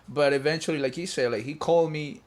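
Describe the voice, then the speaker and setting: A man from Peru chatting casually in an animated, slangy way. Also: a little high, quick pace. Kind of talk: casual podcast chat.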